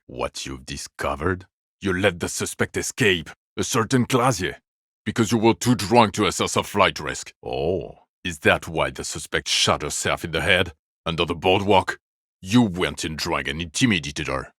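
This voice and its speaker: An actor playing a bitter, exasperated police detective in a video game, sardonic and accusatory, scolding a drunk partner. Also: male voice, a bass voice, fairly bright tone, no room sound, studio quality, heavily acted. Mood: low, sardonic. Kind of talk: dramatic game dialogue, scolding.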